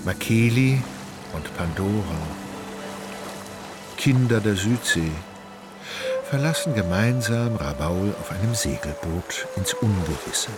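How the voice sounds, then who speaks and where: A man narrating a literary radio drama in a measured, deliberate and wry way. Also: on the low side, lively intonation. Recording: loud music behind the voice. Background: music.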